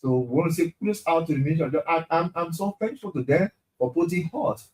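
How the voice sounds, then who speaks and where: A man's voice: fairly high pitch, quick pace, poor audio. Man co-hosting a talk show. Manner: animated, repeats words when he gets emphatic. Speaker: co-host of a night talk show. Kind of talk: casual studio chat and reading aloud.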